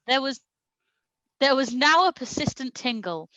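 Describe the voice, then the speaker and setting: A woman's voice: sits high, animated, measured pace, fairly bright tone, no echo, highs cut off. A woman doing a comedic reading of fan fiction aloud in a mock-serious manner, prone to breaking into laughter. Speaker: reader at a comedy show.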